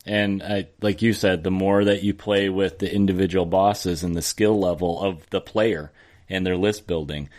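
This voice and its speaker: A man with a fairly low voice hosts a casual gaming podcast. He rambles along conversationally, starting thoughts and letting them trail off before picking them up again. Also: fairly even intonation, normal speed, a little mellow. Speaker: podcast host.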